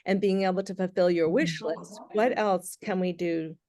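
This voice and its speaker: A woman's voice: on the low side, fairly even intonation, moderate pace, darkish tone. A woman moderating a video call panel, asking long, thoughtful questions.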